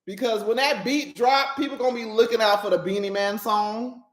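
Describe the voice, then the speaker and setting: A woman's voice: fairly high pitch, fairly even intonation, normal speed. Woman, podcast host, casual monologue, emphatic, playful, echoey room. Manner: emphatic, keeps checking in with listeners; playful, laughs at her own jokes.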